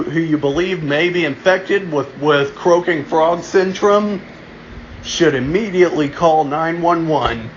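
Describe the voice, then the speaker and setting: A man playing a solemn emergency alert announcer in an amateur mock broadcast, deliberate and halting, restarting his phrases. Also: fairly high pitch, a bit bright. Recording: dull lo-fi home recording, noticeable background hum.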